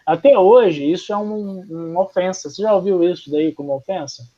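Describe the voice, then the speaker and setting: A young Brazilian man teaching a history class in a loose, chatty way, with many fillers and restarts. His voice sits a bit higher than most men's. The recording sounds dull and lo-fi. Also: a little fast, warm tone. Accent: Brazilian Portuguese. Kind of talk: casual classroom lecture.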